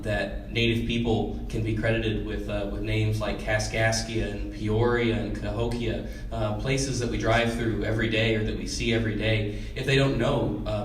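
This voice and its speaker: A young man from Illinois with a loud speaking voice, giving a measured public presentation as a society president, with frequent "uh" and "um". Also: lowish voice, slight background noise. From Illinois, United States. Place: meeting room at a public event. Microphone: handheld mic held far away. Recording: echoey room, voice distant from mic.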